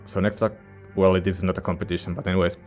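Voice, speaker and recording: Low, monotone man giving a casual review. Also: quick pace, soft background music. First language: not English. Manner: hesitant, informal, searching for words. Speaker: music reviewer, channel host. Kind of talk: casual rambling album review.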